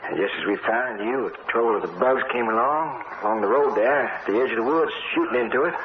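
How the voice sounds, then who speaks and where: Echoey 1950s radio sci-fi: an actor as folksy, steady male lieutenant, mid-range voice. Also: mid-range voice, a little fast, lightly acted. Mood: matter-of-fact. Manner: folksy, matter-of-fact. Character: plain-spoken, steady male fighter, second in command. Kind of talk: 1950s radio drama acting. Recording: muffled, noisy, echoey 1950s radio recording.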